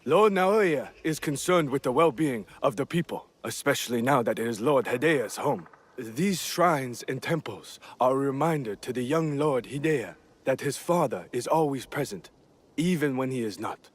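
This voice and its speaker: A man's voice: mid-range voice, expressive, moderate pace, close to an everyday voice. Game role: dutiful, well-mannered feudal lord acted by a man, mid-range voice, formal delivery. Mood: courteous, earnest. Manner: courteous, formal, earnest. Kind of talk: video game cutscene acting.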